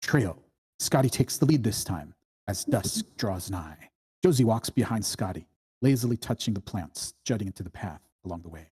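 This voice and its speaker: A man narrates the stage directions of a screenplay at a table read. His voice is rather low and noticeably husky. The delivery is scripted narration. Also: expressive, moderate pace, mellow.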